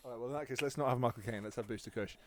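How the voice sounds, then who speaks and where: A man's voice: medium pitch, very fast. A man in his thirties from England, co-hosting a podcast and telling stories in a chatty way with frequent laughter. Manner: chatty storyteller, laughs often. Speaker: podcast co-host. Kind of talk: casual chat.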